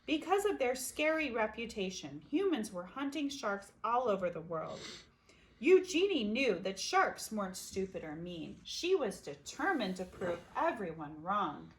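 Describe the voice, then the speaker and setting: A woman reading a children's picture book aloud expressively, with dramatic pauses and emphasis. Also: medium pitch, sing-song, slight background noise. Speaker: storybook reader.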